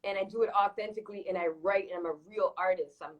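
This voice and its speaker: A woman's voice: mid-range voice, fairly even intonation, fast, lo-fi sound. A woman from Toronto, a singer-songwriter guest, talking candidly and casually in an interview and restating her points emphatically. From Toronto, Canada.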